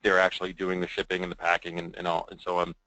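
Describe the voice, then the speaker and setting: A man giving an informal software demo as a presenter, in a conversational way that often checks in with the listener. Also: sits low, completely flat delivery, fast talker, no echo, low-quality recording.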